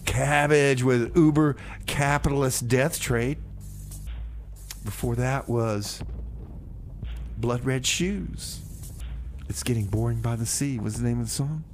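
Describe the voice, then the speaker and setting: A man hosting casual community radio with comedy banter, in a halting, rambling, easygoing way. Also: medium pitch, slight background noise. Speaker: radio show host and DJ.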